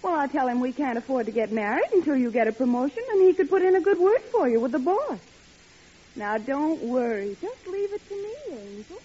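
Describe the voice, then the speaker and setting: A woman's voice: high voice, big pitch swings, brisk, warm tone, a little hiss or hum. An actress playing an eager, sweet-talking young single woman, coaxing and gushing in a vintage radio comedy. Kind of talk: 1940s radio comedy acting. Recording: muffled old radio recording.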